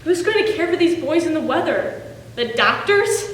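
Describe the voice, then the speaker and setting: Actress playing indignant 19th-century nurse, high-pitched, theatrical monologue, distant reverberant recording. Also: female voice, animated, normal speed, fairly bright tone. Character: indignant, determined Civil War female nurse. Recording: echoey hall, distant, faint noise.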